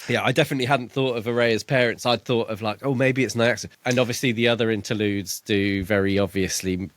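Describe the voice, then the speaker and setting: A man's voice: lowish voice, fairly even intonation, quick pace, no echo. A man co-hosting a podcast in a casual book discussion, thinking aloud in a tentative way. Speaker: podcast co-host.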